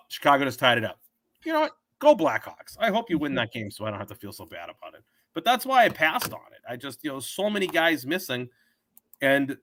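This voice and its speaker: A hoarse man with a sore throat, rambling through his betting picks as a sports show host. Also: medium pitch, a bit bright, no room sound. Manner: rambling, thinks aloud with many fillers and restarts. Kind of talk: casual betting picks talk. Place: home.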